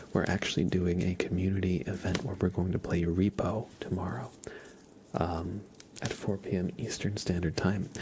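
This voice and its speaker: A man streaming ASMR, chatting softly and gently in a breathy, often whispered voice, unhurried and informal, close to the microphone. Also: sits low, background noise. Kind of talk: soft-spoken ASMR livestream chat.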